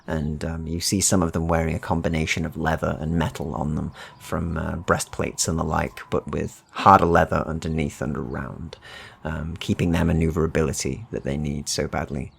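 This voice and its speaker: A man narrating a tabletop fantasy game as game master, descriptive and measured, with pauses. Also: rumbling low, vocal fry, breathy edge.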